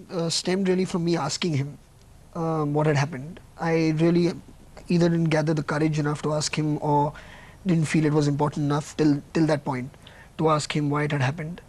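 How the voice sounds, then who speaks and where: A man in his late twenties answering a TV interview in a soft-spoken, measured way, often starting with "um". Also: fairly high pitch, rough recording. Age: 20s. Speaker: interviewee, film director.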